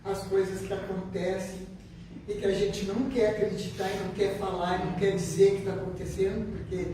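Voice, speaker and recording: A woman with a southern Brazilian accent from Rio Grande do Sul tells a personal story informally to a group, repeating words for emphasis in an animated way. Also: medium pitch, brisk. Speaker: spiritist medium giving testimony. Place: echoey meeting room with a group. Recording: very echoey room, distant mic, faint noise.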